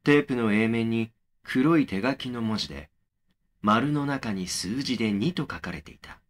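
A man's voice: mid-range voice, expressive, normal speed, lightly acted. A man as brooding young male horror narrator. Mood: brooding, matter-of-fact. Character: young man recounting an eerie memory in horror story. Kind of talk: first-person horror audio drama narration.

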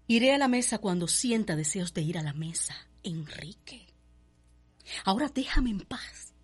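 A young Cuban planter's daughter, wounded and defiant. It is radio soap acting in a middle-pitched, breathy voice with faint music behind. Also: female voice, lively intonation, moderate pace. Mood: cold, defiant.

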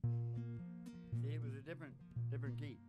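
A man's voice: medium pitch, fairly even intonation, moderate pace. An American man in his sixties hosting in a folksy, rambling, theatrical old-time showman way, close on a stage microphone. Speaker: host and singer of a country music show. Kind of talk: folksy rambling stage patter over guitar. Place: small curtained studio, seen indoors. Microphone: stand microphone, close. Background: acoustic guitar.

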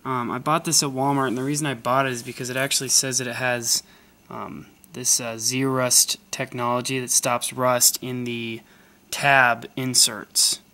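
A man's voice: medium pitch, level tone, normal speed, sharp tone. A young man, a college student, casually hosting a fishing channel, testing a tackle box with plenty of ums and uhs. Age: young adult. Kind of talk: casual product test vlog. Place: carpeted room indoors.

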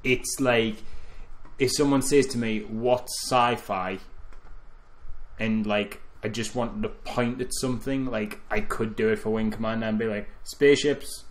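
A man co-hosting a film podcast, arguing his opinions casually in a halting, repetitive way. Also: fairly low pitch, steady tone, poor audio. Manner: halting, repeating phrases as he argues opinions. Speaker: podcast co-host. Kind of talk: casual film critique chat.

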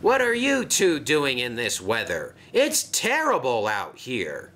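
A man's voice: mid-range voice, huge pitch swings, slow pace, pushed and bright, pitched above the performer's own voice, exaggerated voice. A man voicing a dutiful, tireless male narrow-gauge engine who talks in a matter-of-fact way.

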